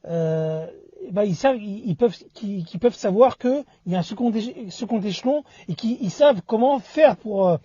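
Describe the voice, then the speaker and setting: A man in casual discussion, talking haltingly and often repeating and rephrasing himself. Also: high-pitched, expressive, mellow, dry recording. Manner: halting, repeats and reformulates phrases. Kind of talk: casual two-person discussion. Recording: dull lo-fi audio.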